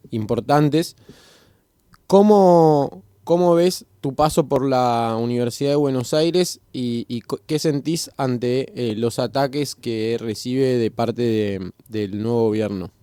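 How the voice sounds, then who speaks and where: A man with a Rioplatense Spanish accent, interviewing a guest and asking his question in a measured, somewhat hesitant way. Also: mid-range voice, dark tone, clear, resonant tone, no room sound.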